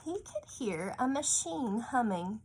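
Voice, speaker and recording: A woman's voice: on the high side, very animated, a little slow, a little mellow, husky, rough recording. A woman reading a picture book aloud to a child, often stopping to ask coaxing little questions. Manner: asks coaxing tag questions of a child listener. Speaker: storybook reader. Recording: faint background room noise.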